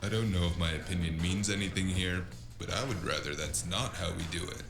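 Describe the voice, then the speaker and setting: A man's voice: low voice, brisk, vocal fry. A man playing a wry, world-weary drifter who narrates a cyberpunk noir audio drama in a sardonic way. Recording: music behind the voice.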